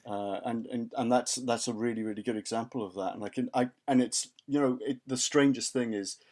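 A man's voice: mid-range voice, steady tone, a little fast, a little mellow. Hesitant man, chatty guest. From West Wales. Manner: hesitant, many restarts and fillers. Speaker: guest academic. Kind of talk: casual interview chat.

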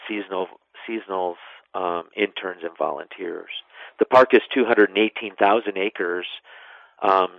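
A middle-aged man, giving measured, explanatory answers as an interview guest. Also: low voice, creaky, dry recording. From west central Wisconsin, United States. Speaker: interview guest, national park superintendent. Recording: narrow phone-line sound.

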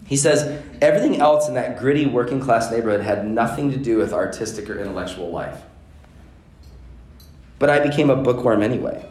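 A man's voice: medium pitch, lively intonation, fairly quick, darkish tone. A middle-aged man giving an earnest seminar talk to parents, building to emphatic repetition. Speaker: seminar speaker on education. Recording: echoey room.

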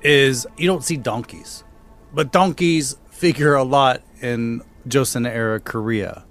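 A man's voice: medium pitch, measured pace, fairly bright tone, soft background music. A man chatting casually as a podcast co-host, trading conversational banter.

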